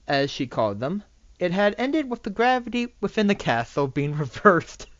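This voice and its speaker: Halting, lively amateur story reader, lo-fi sound. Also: medium pitch, no echo. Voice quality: lisp. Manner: halting, often misreading and correcting words. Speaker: amateur reader of fan fiction. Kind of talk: reading a story aloud, with stumbles and asides. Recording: dull lo-fi microphone recording.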